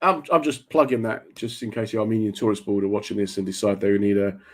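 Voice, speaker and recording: A man's voice: on the low side, fairly even intonation, fast talker. A man hosting a radio chat show, teasing his guest with jokey asides. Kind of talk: joking casual chat.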